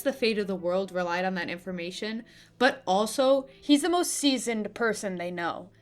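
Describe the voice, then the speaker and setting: A young woman delivering opinionated, scripted video-essay commentary with casual asides, in an expressive, emphatic manner. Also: medium pitch. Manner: expressive, emphatic, gushing and griping. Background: background music.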